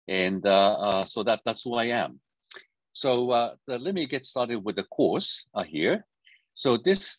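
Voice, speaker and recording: Husky-voiced middle-aged Japanese-born man lecturing. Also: lowish voice, no echo, low-quality recording. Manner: hesitant, many fillers and restarts. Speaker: physics professor. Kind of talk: university lecture over video call.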